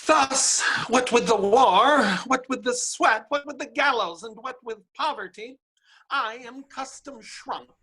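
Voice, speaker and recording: A woman playing a worldly, grumbling brothel madam in a staged Shakespeare reading, with theatrical, drawn-out delivery. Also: on the high side, sharp tone, rough recording.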